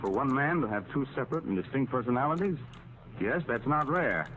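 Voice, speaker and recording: An actor playing a thoughtful, grave man who explains things in measured phrases, in a 1940s radio drama. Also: mid-range voice, lively intonation, a bit bright, natural voice. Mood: explaining. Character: thoughtful, grave male amateur psychologist advising police. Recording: faintly noisy old radio recording.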